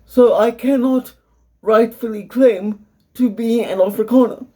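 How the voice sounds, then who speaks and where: A young man with a South African English accent, earnestly explaining his situation in a personal video monologue, measured and careful. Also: very high voice, mellow. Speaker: vlogger.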